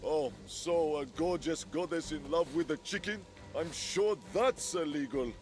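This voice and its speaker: An actor voicing a sulky, self-pitying war god trapped in a chicken's body, melodramatic and bitterly sarcastic, in a video game cutscene. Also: male voice, on the high side, unhurried, faint music behind, lightly acted. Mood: wailing self-pity.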